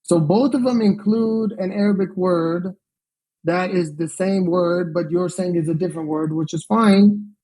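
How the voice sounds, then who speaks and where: A man's voice: high-pitched, measured pace, dark tone, rough recording. A young man in an online debate, defending his point step by step and asking to be treated with respect.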